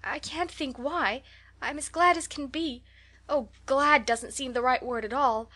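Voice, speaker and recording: Woman doing an eager little orphan girl for an audiobook, talks on and on, high voice, super earnest. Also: measured pace, bright, forceful tone. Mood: tearful, overjoyed. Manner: effusive, earnest, wordy. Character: eager, imploring, talkative young orphan girl. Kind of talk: audiobook character voice.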